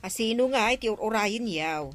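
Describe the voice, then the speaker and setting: An actress playing a nosy, indignant village gossip who exclaims and teases in an Ilocano radio comedy drama. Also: female voice, medium pitch, expressive, moderate pace, fairly bright tone, faint music behind, close to an everyday voice.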